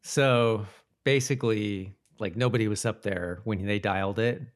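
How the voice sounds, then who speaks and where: Low-pitched man, loose podcast chat. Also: moderate pace. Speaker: podcast co-host. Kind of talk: casual chat about a TV episode.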